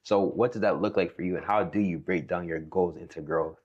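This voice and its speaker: A man's voice: low voice, fairly quick, darkish tone, breathy edge, lo-fi sound. A man hosting a podcast, asking interview questions in a conversational way.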